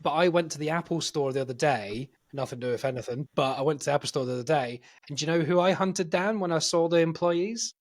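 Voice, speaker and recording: A man's voice: a little high, fast talker. A man in casual podcast chat, pushing back and arguing his point. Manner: interrupting, argumentative. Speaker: podcast co-host.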